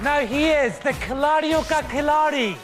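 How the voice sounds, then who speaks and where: A woman hosting a live stage interview, excited, gushing and exclamatory. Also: high voice, moderate pace. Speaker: host. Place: theatre stage before an audience. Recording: loud music and noisy crowd behind.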